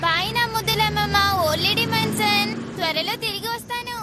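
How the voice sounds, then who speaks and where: A woman's voice: very high-pitched, brisk, heavy background noise. A woman voicing a confident, spirited young girl in a dubbed cartoon. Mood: cheeky.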